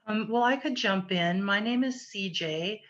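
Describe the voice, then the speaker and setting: A woman's voice: mid-range voice, unhurried, fairly bright tone. A woman in her forties who works at a shelter, introducing herself on a video call in a friendly, lightly joking way. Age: 40s. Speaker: domestic violence shelter worker. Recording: dull lo-fi sound.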